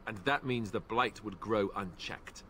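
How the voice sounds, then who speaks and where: An actor playing an earnest, dutiful young warrior in a video game, speaking in a measured, matter-of-fact way. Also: male voice, fairly low pitch, fairly bright tone, a little hiss or hum. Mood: resolute. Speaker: video game companion character. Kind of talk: video game dialogue acting.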